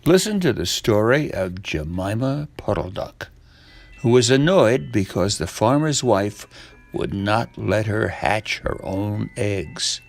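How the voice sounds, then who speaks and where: A man narrating a children's storybook from a script, with deliberate, expressive phrasing. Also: lowish voice, vocal fry.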